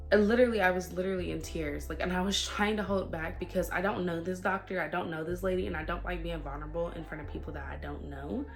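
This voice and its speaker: Low-pitched woman vlogging candidly. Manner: conversational and confiding, quotes herself and others often. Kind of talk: candid personal life-update vlog talk. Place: at home.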